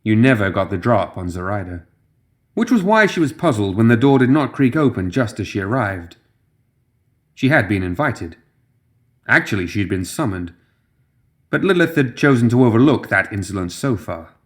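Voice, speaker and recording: A man's voice: fairly low pitch, very animated, moderate pace. A man reading scripted fantasy fiction as a dramatic audiobook narrator.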